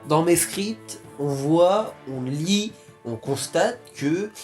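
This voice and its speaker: A man's voice: fairly high pitch, a little mellow. A young man from France talking casually to his viewers, rambling with many restarts and fillers. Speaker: YouTuber. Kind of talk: casual vlog talk.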